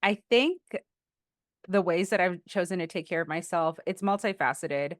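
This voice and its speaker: A woman in her thirties talks easily as a podcast guest, stopping and restarting often and reaching for her words with fillers. Her voice sits a little low and comes through smooth and clear. The sound is close and dry, with no room around it. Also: moderate pace, dry recording. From Philippines. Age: 30s. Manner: chatty, with frequent fillers and restarts. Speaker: guest, defense contractor and Air Force veteran. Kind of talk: casual interview conversation.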